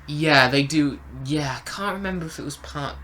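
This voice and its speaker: Bright-voiced man chatting, a bit halting. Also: a little high, a little hiss or hum. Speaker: podcast co-host reviewing audio dramas. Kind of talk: casual review chat.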